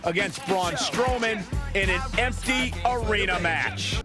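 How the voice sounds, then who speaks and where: A dramatic wrestling announcer in a video game. He calls the action excitedly in a very high, projected voice over loud music. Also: a little slow. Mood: announcing. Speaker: sports commentator. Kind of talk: wrestling commentary.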